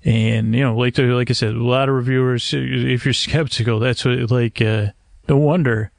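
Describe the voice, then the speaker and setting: A man with a creaky, gravelly voice at a medium pitch hosts a sleep podcast. He wanders through his monologue in measured, hesitant tones, gently poking fun at himself. The sound is close and dry. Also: steady tone, no echo. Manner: hesitant, meandering, measured, gently self-deprecating. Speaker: podcast host. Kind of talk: rambling, soothing bedtime monologue to help listeners sleep. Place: screened porch at night.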